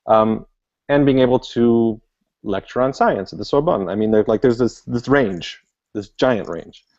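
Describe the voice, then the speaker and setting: A man's voice: mid-range voice, a little slow, darkish tone, highs cut off. A man, a guest author, answering interview questions in a thoughtful, explanatory way with many fillers. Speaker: guest author and language learning expert.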